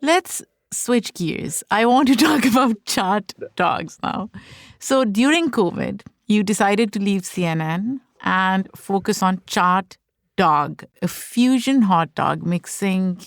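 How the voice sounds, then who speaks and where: A woman hosting an interview podcast, asking probing follow-up questions. She speaks slowly, and her voice is smooth and clear. The sound is dry and close. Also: mid-range voice, no echo. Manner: probing, prompts the guest to say more. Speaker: podcast host.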